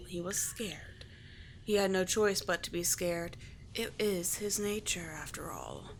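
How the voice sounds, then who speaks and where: A cursed sea witch, played by a woman, speaks in a breathy, soothing murmur that often slips into a whisper. She is regal and tenderly persuasive, with the theatrical delivery of an ASMR roleplay. A noticeable low rumble sits behind the voice. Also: natural voice. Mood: regretful, measured. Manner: slow, soothing, theatrical endearments. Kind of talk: ASMR roleplay, scripted monologue.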